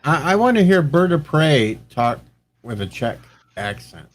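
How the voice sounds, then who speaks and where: Lively teasing man on voice chat. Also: on the high side, a little slow. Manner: joking, teasing. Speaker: stream chat participant. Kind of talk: casual chat.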